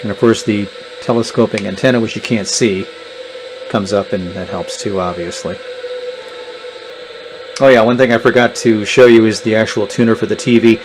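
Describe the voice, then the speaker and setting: A man's voice: on the low side, steady tone, moderate pace, long pauses. A man giving a casual, unscripted hands-on product walkthrough in a plainspoken, explanatory manner. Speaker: gadget reviewer. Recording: clear background noise and clicks.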